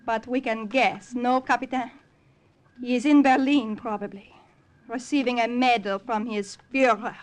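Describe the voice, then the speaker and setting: A woman's voice: on the high side, steady tone, unhurried, lightly acted. An actress playing a tender, proud young French Resistance woman with a French accent, in 1940s radio style. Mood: bitter, knowing. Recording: noisy vintage radio recording.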